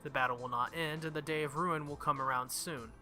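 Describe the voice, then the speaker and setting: A man's voice: on the high side, fairly even intonation, fairly quick, pushed and bright. A man who makes gaming videos, reading visual novel text aloud and commenting casually on the game. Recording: music behind the voice. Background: game music.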